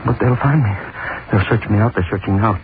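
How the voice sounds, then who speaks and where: A man's voice: lowish voice, fast, lightly acted. A man playing a guilt-ridden hangman in an old-time radio drama, intense and repetitive as he unravels into terror. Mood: haunted, paranoid. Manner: intense, repetitive, haunted delivery. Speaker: lead actor and narrator. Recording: noisy, tinny old-time radio recording.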